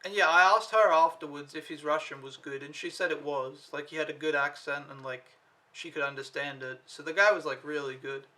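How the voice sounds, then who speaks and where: A young man from Denmark tells a casual vlog recap, wandering from point to point with plenty of fillers and the odd swear word. His voice sits a little high and sounds bright and forward. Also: steady tone, a little fast. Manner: rambling, laid-back storytelling with lots of fillers and mild swearing. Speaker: au pair vlogger.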